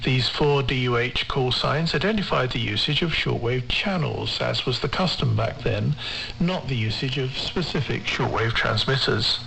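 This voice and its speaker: A male radio presenter with a mid-pitched voice narrates a scripted feature. He reads in a measured, formal manner with careful enunciation. The shortwave recording carries light noise and hum. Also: gravelly, bright, forceful tone, low-quality recording.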